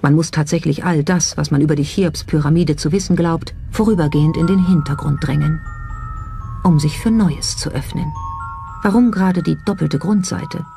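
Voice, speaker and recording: Documentary narrator, mid-pitched and relaxed, scripted delivery, light music bed. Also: normal speed, gravelly. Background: background music.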